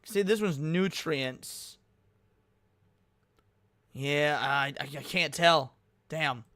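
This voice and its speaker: A man's voice: on the high side, drawn-out words, pushed and bright, dry recording. A man reacting casually to a video on a livestream, talking in slang and swearing.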